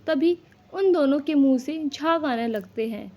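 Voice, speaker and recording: A woman's voice: high voice, lively intonation, measured pace, dull audio. A woman narrating a scripted cartoon story as its storyteller.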